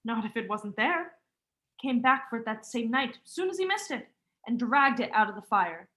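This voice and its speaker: Woman playing a suspicious young heroine, frightened, high-pitched, forceful, emphatic, old-time radio melodrama. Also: expressive, normal speed. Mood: urgent, accusing. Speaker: student actor. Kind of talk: vintage radio mystery acting.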